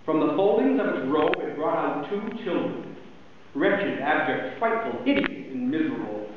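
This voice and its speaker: Male stage narrator, emphatic and theatrical, high voice, tinny distant sound with heavy echo. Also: very animated, normal speed. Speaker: narrator in a two-man stage reading. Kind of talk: dramatic reading of a Victorian story. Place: hall, live performance. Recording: very echoey hall, distant thin phone-like sound, faint noise.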